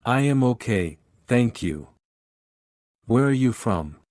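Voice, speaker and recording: A man reading one side of a scripted English lesson dialogue, speaking in simple sentences with deliberate, even spacing. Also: medium pitch, no echo, clean studio sound. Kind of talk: slow scripted practice dialogue.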